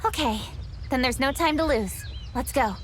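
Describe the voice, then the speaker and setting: A woman's voice: high voice, a little hiss or hum, natural voice. Woman voicing a composed young investigator. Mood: determined. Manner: composed, measured, commanding. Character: resolute young female investigator, calm and firm.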